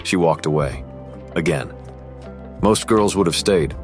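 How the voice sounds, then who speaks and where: A man playing a cocky rich high school boy who is quietly smitten, narrating and speaking in a teen romance drama. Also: measured pace, faint music behind. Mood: brooding, reflective. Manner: smug, cool, guarded. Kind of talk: first-person narration and dialogue in a teen romance.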